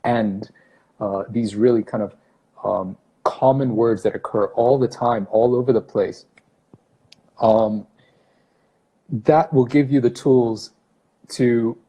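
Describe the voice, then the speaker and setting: Mellow-voiced man chatting on a phone livestream. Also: mid-range voice, slightly rough, low-quality recording. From Brunei. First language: not English. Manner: chatty, self-correcting, greets viewers by name. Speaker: English teacher hosting a livestream. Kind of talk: casual livestream talk to viewers. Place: small office room indoors. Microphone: phone camera at arm's length.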